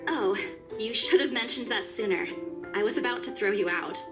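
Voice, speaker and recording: A woman voicing an exasperated, guarded young working woman in a visual novel game, brisk and expressive. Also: mid-range voice, close to an everyday voice. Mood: dry, scolding. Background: video game music.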